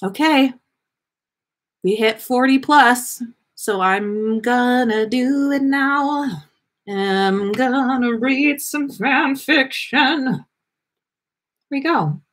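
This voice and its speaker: A woman hosting a livestream in a playful, expressive manner. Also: high-pitched, very slow, pauses often. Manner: playful, sweary, teasing, expressive. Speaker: podcast host reading fan fiction on a livestream. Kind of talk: casual livestream banter.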